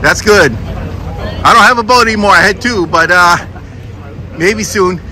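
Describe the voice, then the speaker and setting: A man's voice: high voice, unhurried, pushed and bright. A man vlogging as he walks around an outdoor harbor fishing expo, chatting casually and thanking exhibitors. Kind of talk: casual walkaround narration. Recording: crowded outdoor event. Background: crowd chatter.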